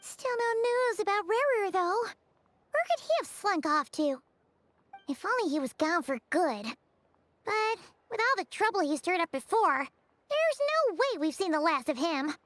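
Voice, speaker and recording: A woman voicing a tiny, excitable fairy companion in a video game, squeaky, childlike and expressive. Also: a little slow, dry recording, heavily acted. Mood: musing, grumbling. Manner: exclamatory, expressive. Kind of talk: scripted video game voice acting.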